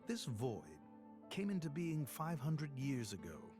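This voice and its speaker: An actor playing a solemn, courteous old ruler in a game cutscene, speaking formally and with measured dignity. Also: male voice, mid-range voice, big pitch swings. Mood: grave, explanatory. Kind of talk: dramatic game cutscene acting. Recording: orchestral music behind the voice.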